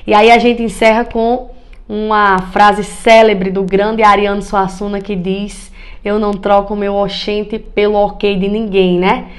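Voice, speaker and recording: Young woman w northeast brazil accent, reciting verse, unhurried, smiley delivery. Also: mid-range voice, flat delivery. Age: young adult. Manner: smiling, measured, rhythmic. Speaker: poetry reciter. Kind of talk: expressive cordel poetry recitation. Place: indoor room.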